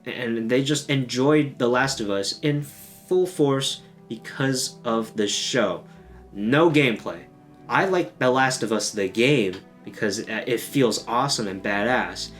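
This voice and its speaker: A man's voice: mid-range voice, slow. Male gaming host, casual video essay delivery, joking and self-deprecating, with faint guitar music underneath.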